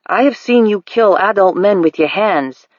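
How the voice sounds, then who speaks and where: A narrator voicing a dignified male judge who speaks in a measured, solemn, formal way, in a dull, dry recording. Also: mid-range voice, animated, no room sound, close to an everyday voice. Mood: grave.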